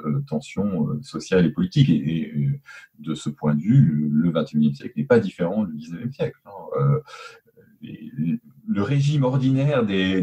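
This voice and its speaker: A man giving spontaneous expert commentary as an interviewed historian, halting often as he searches for words. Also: sits low, mellow, lo-fi sound.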